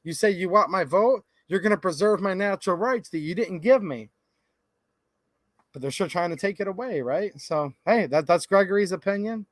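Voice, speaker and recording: High-voiced, fervent livestream host. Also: male voice, moderate pace, no echo. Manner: passionate and folksy, talks straight to viewers. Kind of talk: casual livestream chat, reading viewer comments. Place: home recording studio.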